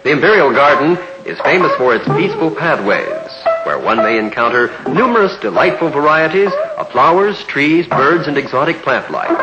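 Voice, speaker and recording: A middle-aged man giving formal, measured 1950s newsreel narration. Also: fairly high pitch, big pitch swings. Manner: measured, formal announcer delivery. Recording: dull lo-fi 1950s newsreel soundtrack with loud music. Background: loud orchestral music.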